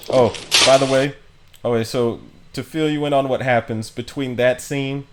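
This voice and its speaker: A man's voice: mid-range voice, slight background noise. A man streaming a game with casual, informal commentary and wry asides.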